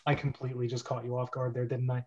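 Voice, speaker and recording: A man's voice: medium pitch, monotone, fast, a little mellow. A man hosting a casual livestreamed group chat about scripts, talking in an informal, rambling way. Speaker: host of an online script reading club. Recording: dull, lo-fi online stream audio.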